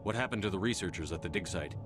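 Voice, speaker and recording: A terse, fast-talking male commander. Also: low-pitched, lively intonation, over music. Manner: clipped, direct questions and orders. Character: terse male military commander, calm and in charge.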